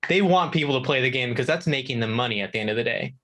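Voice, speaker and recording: A man's voice: mid-range voice, animated, rapid-fire, fairly bright tone. A man chatting casually as a guest on a gaming talk segment, hedging and thinking aloud as he answers. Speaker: video game contributor and guest. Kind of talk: casual chat.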